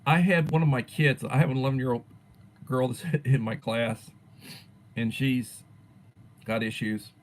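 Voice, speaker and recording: A man in his sixties, a teacher chatting casually on his own livestream and answering viewers, rambling and letting his sentences fade softly at the ends. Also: medium pitch, fairly even intonation, unhurried, pauses often, slight background noise. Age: 60s. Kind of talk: casual livestream chat reading viewer comments.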